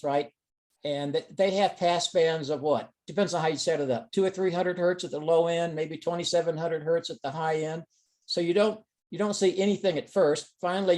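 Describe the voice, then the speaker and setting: A man's voice: a little high, darkish tone. A man giving an informal technical presentation on a conference call, explaining things step by step. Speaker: presenter of experimental data. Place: online teleconference call.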